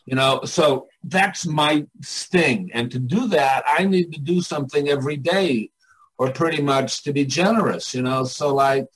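Slow-talking older man, reflective. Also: a little high, a bit bright, slightly rough, low-quality recording. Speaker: artists' coach and mentor. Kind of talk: reflective casual talk.

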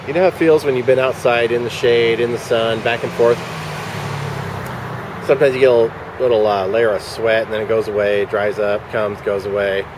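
A man's voice: medium pitch, steady tone, brisk. A man in his forties giving dry, rambling commentary to a handheld camera outside a shopping center. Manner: dry, wry running commentary, muttered asides. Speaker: vlogger. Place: outdoors at a shopping center parking lot. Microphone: handheld camera at arm's length. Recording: handheld camera outdoors with traffic noise. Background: traffic and outdoor ambience.

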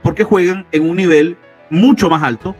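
A man with a high voice argues soccer on a radio sports show. He is insistent and keeps pressing his co-host with rhetorical questions. Faint music plays under the broadcast. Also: moderate pace. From Central America. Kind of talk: heated sports radio debate.

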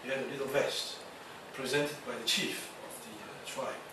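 A man giving a university lecture, speaking haltingly with many fillers and restarts. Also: mid-range voice, steady tone. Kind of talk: classroom lecture. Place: lecture hall. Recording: echoey lecture hall, distant mic, noisy.

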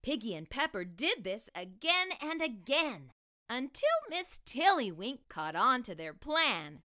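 A middle-aged woman reading aloud to young children as a storytime host, with expressive, deliberate delivery. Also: fairly high pitch. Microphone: lapel mic.

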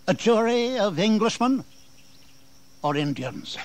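An actor playing an indignant, authoritative colonial governor with bitter sarcasm and an oratorical, emphatic delivery in a historical audio drama. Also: male voice, high voice, sing-song, slow, a little mellow, heavily acted. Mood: sarcastic, exasperated. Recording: 1980s audio drama with background noise.

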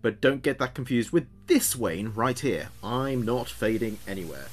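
A man's voice: medium pitch, lively intonation, normal speed, natural voice. A man playing a chatty small-town late-night radio host in an audio drama, with playful, wry patter. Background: background music.

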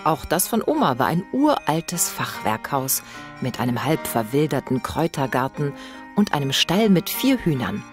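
A woman narrating a scripted children's bedtime story in an expressive read-aloud manner. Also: low-pitched, normal speed, music in the background.

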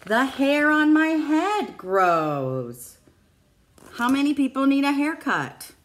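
A woman's voice: high voice, very animated, slow pace. A middle-aged woman, a preschool teacher, reading a picture book aloud to young children in simple phrases. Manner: simple child-directed phrasing with questions to listeners. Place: small classroom, indoors. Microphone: phone or tablet at arm's length.

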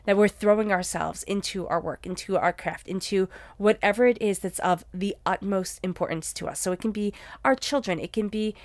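A woman's voice: mid-range voice, normal speed, few pauses, darkish tone, dry recording. A woman with a congested voice gives a conversational spiritual tarot reading, earnestly repeating and rephrasing for emphasis. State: congested from allergies. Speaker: tarot reader.

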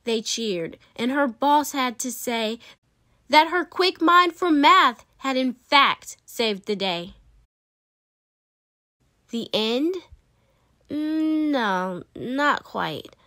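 Lady reading a kids picture book, takes it slow, really expressive storyteller, no room echo. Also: sits high, studio quality. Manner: dramatic, emphatic storytelling with playful asides. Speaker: read-aloud storyteller. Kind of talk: expressive children's picture book read-aloud.

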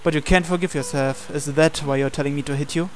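Higher-voiced man reading a visual novel. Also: fairly even intonation, fast. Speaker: gameplay reader voicing the male protagonist. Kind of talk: reading visual novel dialogue and narration aloud in character. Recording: music playing under the voice.

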